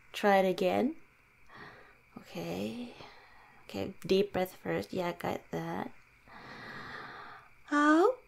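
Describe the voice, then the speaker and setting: A woman playing a shy, awkward young werewolf girlfriend in improvised ASMR roleplay, halting and self-mocking. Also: mid-range voice, vocal fry, heavily acted. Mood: shy, soothed.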